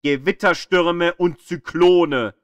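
A man's voice: high voice, slow, dry recording. A man reading scripted educational narration as an audio guide, drawing out key words for dramatic emphasis.

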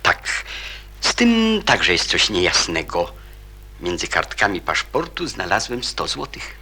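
A considerate, perceptive gentleman in a radio play. His husky voice is lowish and bright, with animated intonation as he politely probes. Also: full character voice. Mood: thoughtful, considerate. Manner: polite, measured, gently questioning. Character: courteous, perceptive adult man, calmly probing and considerate. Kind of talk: Polish radio drama acting.

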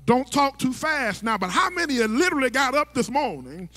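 A man's voice: very high voice, big pitch swings, a little fast, bright, forceful tone, soft background music. A middle-aged male pastor preaching from a church stage into a handheld mic, with rhythmic sing-song phrasing and stammering restarts. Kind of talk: sermon. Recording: long church hall reverb.

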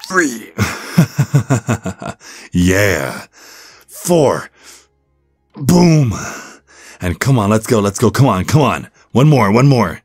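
A man's voice: sits low, a little mellow, no echo. A man voicing a supportive, flirty werewolf gym bro in an ASMR roleplay, with an encouraging, stammering manner. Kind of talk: ASMR roleplay, one-sided conversation.

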